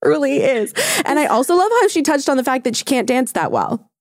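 A high, very lively woman's voice, recorded close and dry. She talks like a podcast host bantering with a friend. She is blunt and teasing and swears freely. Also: brisk, no echo. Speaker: pop culture podcast host. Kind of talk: casual banter between friends.

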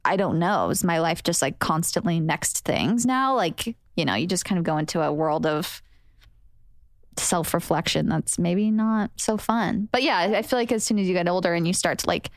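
A woman's voice: lowish voice, expressive, moderate pace, no echo, studio quality. A woman in her twenties, a podcast guest, chatting casually and reflectively with lots of fillers. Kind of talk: casual chat.

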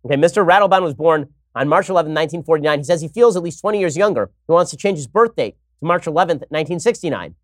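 Man, political commentary host, wry and sarcastic, slightly high voice, dead dry sound. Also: lively intonation, very fast, hardly any pauses, no room sound.

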